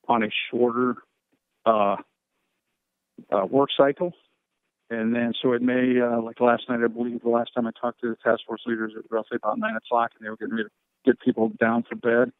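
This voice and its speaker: Even-toned man giving an official briefing. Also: medium pitch, no echo, narrow, tinny audio. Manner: measured, procedural, uses operational jargon. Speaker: fire and rescue official briefing reporters. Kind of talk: conference call briefing, question and answer.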